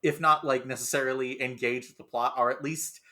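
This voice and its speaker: A man with a mid-pitched voice discusses things analytically but casually as a podcast panelist. He is somewhat halting, repeating words while he builds each point. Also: steady tone, pushed and bright.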